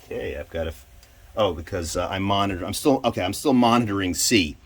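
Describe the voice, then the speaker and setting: A man giving an informal hands-on equipment demonstration, halting and thinking aloud as he goes. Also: low-pitched. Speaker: presenter demonstrating radio equipment. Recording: clear background noise.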